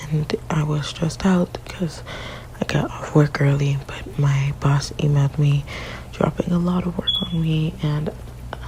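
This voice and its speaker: A woman whispering softly and haltingly in an ASMR partner roleplay, often restarting her sentences. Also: deep voice, fairly even intonation, noisy background.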